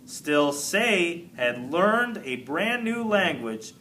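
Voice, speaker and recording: A man reading a children's book aloud as an expressive narrator, like a teacher to a class. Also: on the high side, drawn-out words, a little hiss or hum, rough recording.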